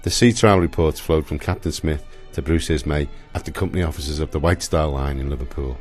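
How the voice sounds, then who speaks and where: Really deep male narrator reading history for a radio play, faint music behind. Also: brisk, a little mellow. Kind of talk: scripted historical documentary narration.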